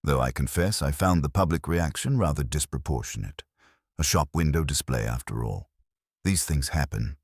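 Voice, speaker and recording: Disapproving male lawyer, late forties read by a man, measured, formal, very low and husky, mystery story. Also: lively intonation, dry recording, clean studio sound, heavily acted. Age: 40s. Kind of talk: scripted mystery story dialogue.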